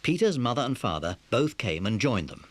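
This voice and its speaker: A man's voice: mid-range voice, sing-song, brisk, a little hiss or hum. A man narrating a scripted children's story as the narrator of an audio dramatisation.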